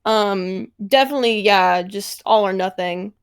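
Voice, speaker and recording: A woman's voice: medium pitch, a little slow, clear, resonant tone. A woman speaking casually as a voice actor on an online panel, gushing a little and often hesitating. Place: online panel over voice call.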